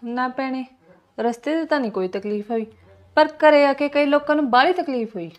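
A woman's voice: high-pitched, brisk, highs cut off, close to an everyday voice. A woman playing a polite young woman who has come to help her sick sister and speaks reassuringly.

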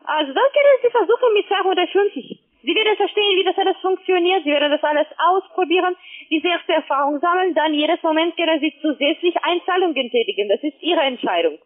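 Female phone sales agent, non-native speaker, high pitch, halting but pushy, thin telephone sound. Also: expressive. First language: not German. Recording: narrow tinny phone line.

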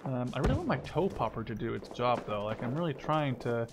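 A man's voice: medium pitch, big pitch swings, fairly quick. A young man giving casual, rambling commentary while playing a shooter game, swearing now and then. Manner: rambling, swears when killed. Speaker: gameplay commentator. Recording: game gunfire noise behind voice. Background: loud gunfire and artillery from the game.

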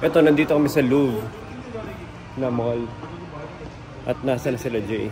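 A chatty Filipino man in his thirties, filming himself on a close handheld camera while he walks through a big indoor mall. His voice sits at an ordinary middle pitch, and he talks in loose, teasing tour-guide banter. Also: moderate pace. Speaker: vlogger. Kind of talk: casual vlog narration. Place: large indoor furniture mall. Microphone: handheld camera held close.

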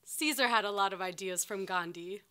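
A woman's voice: medium pitch, moderate pace, pushed and bright, slight background noise, natural voice. A woman playing an earnest farmworker remembering the movement in a dramatized oral-history monologue.

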